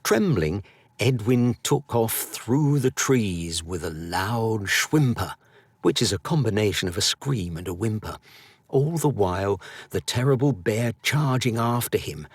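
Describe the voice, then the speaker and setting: A man narrating a children's story expressively, with dramatic, drawn-out emphasis. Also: fairly low pitch, animated, slightly rough, no echo. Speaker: audiobook narrator.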